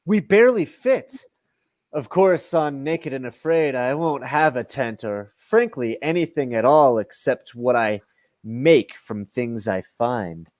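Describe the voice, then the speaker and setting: A self-centred, whiny adventurer played by a middle-aged man from a comic radio play. The lines come slowly and earnestly, with the pitch sliding widely up and down. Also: animated, a little mellow. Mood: put out. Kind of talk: comedic radio play acting over video call. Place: room at home, on a video call.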